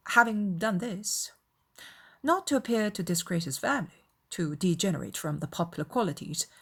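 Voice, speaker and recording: A woman voicing an aggrieved young gentleman in a dramatized audiobook, confiding and self-justifying, with measured, earnest, formal delivery. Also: on the low side, lively intonation, slightly rough. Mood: measured, explaining.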